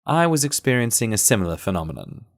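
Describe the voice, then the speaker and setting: Male narrator, low register, measured delivery of first-person fiction, very dry sound. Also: very animated, no echo.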